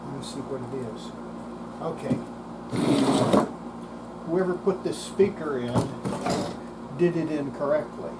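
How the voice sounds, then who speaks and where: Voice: medium pitch, unhurried, pauses often, very noisy. A person narrating hands-on restoration work in a casual way, talking through each step while working. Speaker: host demonstrating radio restoration.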